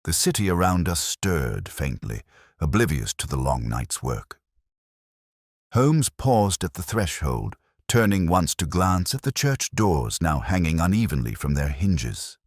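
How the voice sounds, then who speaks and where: Male storyteller, extremely low voice with animated swings, solemn mystery narration, dry close sound. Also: dry recording. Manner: measured, solemn, literary phrasing. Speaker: audiobook narrator. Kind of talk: dramatic scripted mystery narration.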